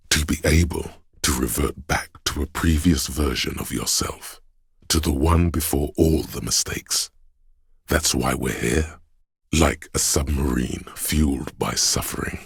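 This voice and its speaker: An actor playing the grandiose, artsy inner voice of a detective's imagination in a video game, narrating in a dramatic, florid, deliberate way. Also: male voice, a bit bright, dry recording, studio quality, full character voice. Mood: brooding, poetic. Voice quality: gravelly, husky bass. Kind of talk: theatrical, literary game narration.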